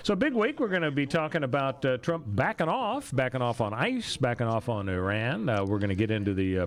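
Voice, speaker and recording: A middle-aged American man hosting a talk radio show, bantering with wry, halting delivery. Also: mid-range voice.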